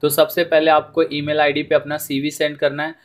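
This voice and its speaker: A man's voice: fairly high pitch, monotone, a little fast. A man with an Indian accent giving an informal explainer voice-over, addressing viewers as friends, repeating himself and mixing in English words. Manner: repetitive, often addresses listeners as friends, mixes in English words. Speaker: presenter of a job information video.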